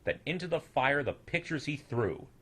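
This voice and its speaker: A man reading a rhyming children's tale aloud as an expressive storyteller. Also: a little high, normal speed, slightly rough.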